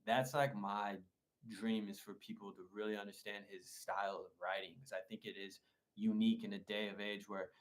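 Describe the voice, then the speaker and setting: A man's voice: lowish voice, level tone, normal speed. A man talking as a producer guest in a casual interview, rambling with many likes and restarts.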